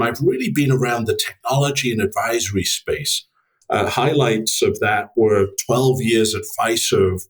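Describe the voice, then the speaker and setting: A man in his fifties with a slight Welsh accent, speaking as a podcast guest in a polite, measured way. His voice sits somewhat low. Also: low-quality recording. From Wales. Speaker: guest, strategy executive. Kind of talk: interview answers.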